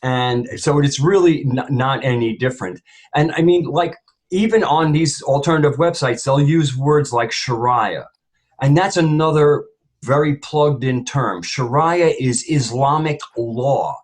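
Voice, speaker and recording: A man with a slightly husky voice of average pitch speaks as a panelist. He gives his opinions emphatically, rambling through points and repeating words to press them home. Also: unhurried.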